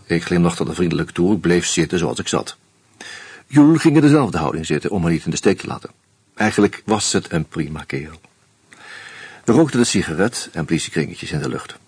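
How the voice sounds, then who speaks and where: A wry, anxious jobless husband tells his own story in a radio play, voiced by a man. His low voice is very husky and moves through a wide range of pitch. The sound is close and dry. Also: animated, fairly quick, no room sound, heavily acted. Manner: wry, hesitant with many "eh". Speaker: first-person narrator and lead character. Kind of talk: radio play acting and narration.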